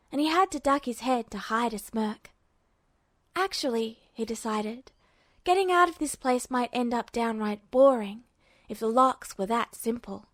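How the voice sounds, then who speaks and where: A woman's voice: on the high side, no echo. A woman reading fan fiction aloud as a scripted story narration. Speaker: narrator, podfic reader.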